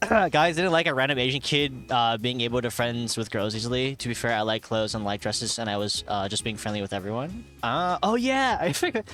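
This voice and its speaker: A man's voice: mid-range voice, expressive, brisk, hardly any pauses, fairly bright tone. A young man of Thai heritage chatting casually on a live stream, reading chat aloud, swearing and laughing often. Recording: faint music behind.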